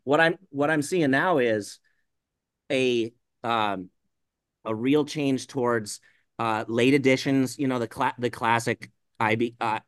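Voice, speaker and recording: A man's voice: mid-range voice, slow pace, clean tone, no room sound. A man from the Pacific Northwest, a brewer talking shop in a casual podcast chat, rambling with lots of "you know" fillers. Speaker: brewer guest.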